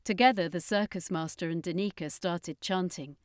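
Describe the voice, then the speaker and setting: A woman's voice: fairly low pitch, moderate pace, no room sound. Dry-sounding woman narrating dramatically. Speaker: story narrator. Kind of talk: dramatic scripted narration of an adventure story.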